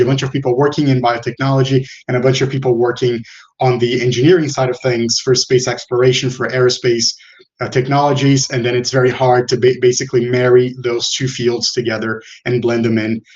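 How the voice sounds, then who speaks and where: A man from Brazil giving an online talk as the guest speaker, relaxed and often correcting himself as he goes. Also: medium pitch, dull audio. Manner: relaxed, self-correcting, a few laughs. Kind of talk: online presentation.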